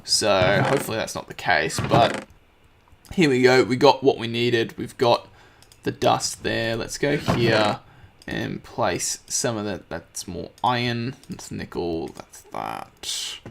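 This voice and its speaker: A man with a slightly croaky voice, casually commenting on his gameplay and thinking aloud as he crafts. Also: medium pitch, lively intonation, takes it slowly, a bit bright, a little hiss or hum. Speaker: let's play host.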